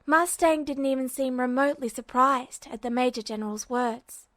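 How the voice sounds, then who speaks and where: A woman narrating a fan fiction audiobook in a steady storytelling manner. Her voice sits fairly high. The recording is dry and close, with no room sound. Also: moderate pace, dry recording. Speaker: podfic reader.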